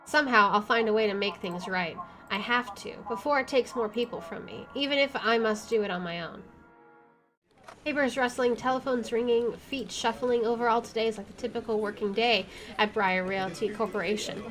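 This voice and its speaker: A woman's voice: on the high side, moderate pace, a bit bright, soft background music. A woman doing playthrough commentary and reading game text aloud, with a playful, wisecracking manner. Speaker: video game let's player.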